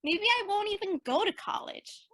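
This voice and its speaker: A woman's voice: very high voice, animated, normal speed, sharp tone, no room sound, studio quality, exaggerated voice. An actress in a radio play as an earnest, eager eighteen-year-old girl who dreams of singing. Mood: elated. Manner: earnest, youthful.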